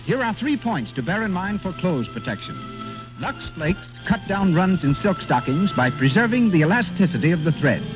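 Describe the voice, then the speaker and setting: An American man announces in vintage 1930s radio fashion. His pitch is high and swings with showmanlike emphasis. Clear music plays behind him on a dull old recording. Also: sing-song, moderate pace. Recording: muffled 1930s radio broadcast.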